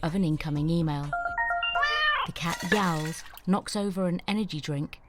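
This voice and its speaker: Woman narrator for screenplay reading, measured delivery, somewhat low voice. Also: faint music behind. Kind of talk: scripted narration of stage directions.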